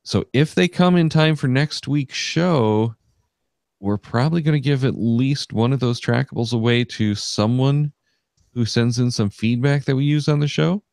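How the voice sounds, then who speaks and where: A man's voice: mid-range voice, moderate pace, creaky, darkish tone, no echo, clean studio sound. A man hosting a podcast in casual chat, talking loosely with many fillers and restarts. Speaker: podcast host.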